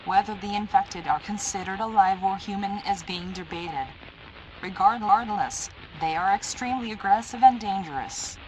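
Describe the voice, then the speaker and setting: A synthetic female voice playing a stern, impersonal emergency alert announcer, reading formal, deliberate warnings in an analog horror broadcast. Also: medium pitch, darkish tone, slight background noise, close to an everyday voice, poor audio. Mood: stern, urgent.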